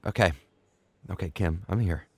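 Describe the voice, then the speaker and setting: A man's voice: low-pitched, unhurried, vocal fry, husky, dry recording, studio quality, heavily acted. A man playing a bewildered, protective middle-aged father in an audio drama, stammering when flustered. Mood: soothing, relieved. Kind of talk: naturalistic audio drama acting.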